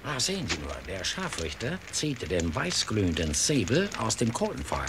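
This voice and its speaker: An actor playing a cool British gentleman reporter with a dry, worldly manner in a radio play. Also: lowish voice, huge pitch swings, moderate pace, noisy background. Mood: grave observing. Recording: music behind the voice.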